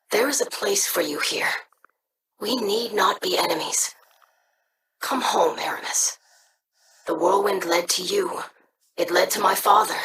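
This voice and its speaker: A woman's voice: medium pitch, far from the mic, noisy background, lightly acted. An actress playing an earnest, thoughtful young female alien scholar in a game, speaking formally. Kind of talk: game character dialogue.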